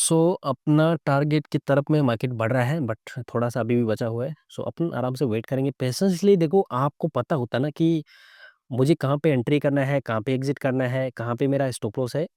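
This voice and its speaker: A man with a soft, mellow voice, recorded close and dry. His throat is a little sore. He teaches chart reading as a casual live commentary, talking conversationally and often tacking on little questions to check that listeners follow. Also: mid-range voice, moderate pace, dry recording. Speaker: trading instructor and live-trade host.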